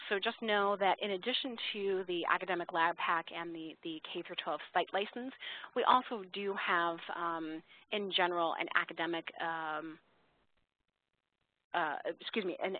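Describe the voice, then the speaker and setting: A woman presenting a webinar question-and-answer session over a phone line, speaking politely and informally with a halting, hesitant delivery. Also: fairly low pitch, fairly even intonation, dry recording. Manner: polite, formal address to audience. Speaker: webinar presenter for a software company.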